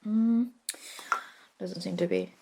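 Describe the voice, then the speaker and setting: A woman playing a friendly, reluctant modern witch in a soft-spoken ASMR roleplay, casual, unhurried and slightly wry. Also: medium pitch, very animated, vocal fry, background noise, exaggerated voice. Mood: reassuring, focused. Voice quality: soft, hushed delivery.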